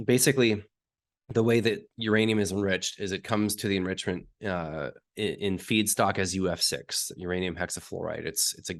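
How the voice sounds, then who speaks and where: A man with a hoarse, raspy voice from being sick, explaining technical detail step by step as an interview guest and often clearing his throat. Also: low-pitched. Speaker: uranium market analyst guest.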